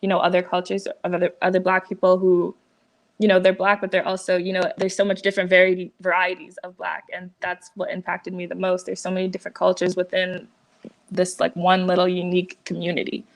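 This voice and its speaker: A woman answering a panel question in a flat, even voice that barely rises or falls. She talks casually and hesitantly, leaning on "you know" and "like" between thoughts. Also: lowish voice, a little fast. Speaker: panel guest. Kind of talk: panel discussion.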